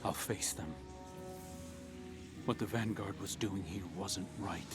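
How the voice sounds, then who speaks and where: An actor playing a remorseful, principled younger rebel guardian, earnest and plain-spoken, in a video game cutscene. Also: male voice, low-pitched, slow, music in the background. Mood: quietly defiant.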